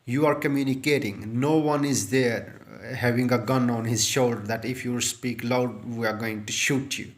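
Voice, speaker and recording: A man's voice: medium pitch, steady tone, moderate pace, few pauses. Urdu-speaking man coaching on a phone. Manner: earnest, repetitive, with many fillers and restarts. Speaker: IELTS speaking tutor. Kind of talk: unscripted tutorial monologue to camera. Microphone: phone held close, front camera.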